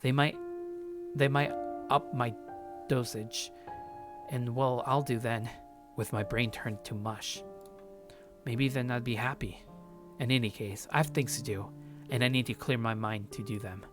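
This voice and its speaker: A man's voice: mid-range voice, steady tone, unhurried, long pauses, music in the background, close to an everyday voice. A man playing a paranoid, sardonic young man narrating his journal in a visual novel, curt and brooding. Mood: brooding, bitter.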